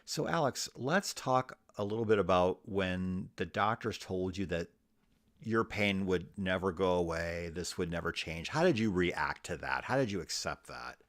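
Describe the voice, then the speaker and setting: Man, radio talk show host, from Iowa, very deep voice, polished broadcast delivery, dry close-miked sound. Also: expressive, moderate pace, no echo. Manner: smooth, practiced broadcast delivery with plugs and sign-offs. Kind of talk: conversational radio interview.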